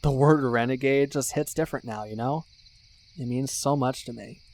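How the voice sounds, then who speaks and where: A man's voice: mid-range voice, darkish tone, natural voice. Casual ASMR roleplay lead: male actor as a young guy best friend, geeky and teasing but sincere, conversational and rambling, mid-range voice. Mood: reflective, earnest. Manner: conversational, rambling, with musing pauses and sighs.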